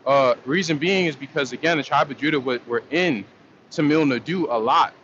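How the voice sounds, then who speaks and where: A man's voice: fairly high pitch, moderate pace, a bit bright. Slangy man on a lo-fi livestream. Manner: loose, slangy and rambling, with many fillers and restarts. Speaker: livestream host answering viewer comments. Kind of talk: casual livestream chat. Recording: dull lo-fi stream audio with faint noise.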